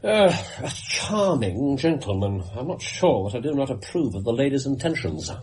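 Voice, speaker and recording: An actor playing a shrewd, self-assured British detective in a radio drama, with a clipped, ironic, theatrical delivery. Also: male voice, medium pitch, sing-song, normal speed, a little mellow, slight background noise, natural voice. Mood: assured, reflective.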